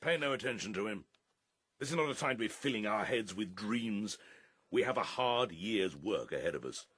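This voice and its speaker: An actor playing a stern, menacing pig who rules a farm as dictator, gruff and commanding, in a radio drama. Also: male voice, lowish voice, lively intonation, normal speed, a bit bright, no room sound. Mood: scornful.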